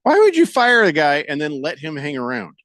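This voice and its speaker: A man's voice: on the high side, huge pitch swings, brisk, fairly bright tone, dry recording. A man hosting a sports radio show, joking around and reading out listener comments in a playful way. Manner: jokey, reads listener comments aloud in playful voices. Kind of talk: casual sports radio chat.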